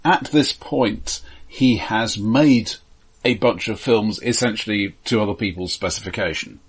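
Husky, low-voiced man explaining films. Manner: halting, repeats words while explaining. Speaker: film podcast host. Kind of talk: casual explanatory film discussion.

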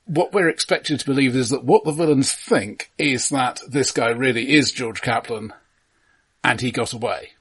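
A middle-aged man with a British accent talks casually about film. His voice has a husky edge at an average pitch, and he often doubles back on the first word or two of a phrase. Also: a bit bright. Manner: halting, repeated word starts. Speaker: film podcast host.

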